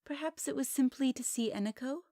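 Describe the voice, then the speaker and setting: Woman as a high-voiced elf wife. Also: fairly quick, mellow, no echo, clean studio sound. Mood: curious. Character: curious elf wife and mother. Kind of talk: audio drama dialogue.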